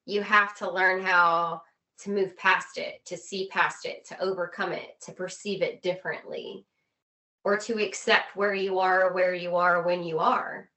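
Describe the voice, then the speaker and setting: A woman's voice: fairly low pitch, steady tone, unhurried, pushed and bright, low-quality recording. A woman interview guest explaining things in an earnest, conversational way, with emphatic, repeated phrasing.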